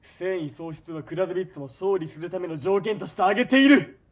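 Ruthless, arrogant military commander, crisp voice. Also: male voice, sits high, animated, a little fast. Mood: manic, menacing. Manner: domineering, sneering, swinging from cold murmur to shouting. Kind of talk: Japanese radio drama acting, period military.

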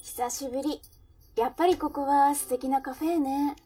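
A woman's voice: high-pitched, fairly even intonation, moderate pace, recorded from a distance, over music, close to an everyday voice. A woman playing a breezy, romance-loving working woman in a radio drama, self-assured and curious. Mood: chatty, breezy. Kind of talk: Japanese radio drama acting.

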